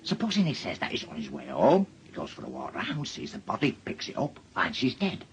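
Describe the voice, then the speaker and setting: A man's voice: deep voice, huge pitch swings, brisk, exaggerated voice. An actor playing an anxious married man in a naturalistic radio drama, with a Northern English accent and a hesitant, defensive, pleading delivery. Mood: agitated, worried. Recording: dull lo-fi audio, faint noise.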